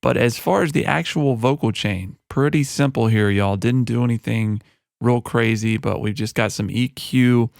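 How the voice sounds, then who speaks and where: A man who is a music producer giving a relaxed, chatty walkthrough of a mixing session into a broadcast mic in his home studio. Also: medium pitch, normal speed, creaky, no echo. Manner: relaxed and conversational, with frequent fillers. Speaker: music producer and recording artist. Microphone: broadcast studio microphone.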